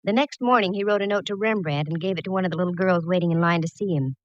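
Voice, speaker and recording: A woman's voice: on the low side, fast talker, close to an everyday voice. An actress playing a plucky, wry female private eye, narrating in the style of a 1940s radio drama. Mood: matter-of-fact, explaining. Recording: dull, dry vintage radio recording.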